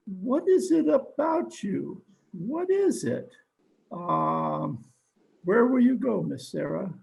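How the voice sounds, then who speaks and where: A man playing a flustered, concerned gentleman, halting, in an old-time radio drama. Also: sits high, big pitch swings, vocal fry, exaggerated voice, poor audio.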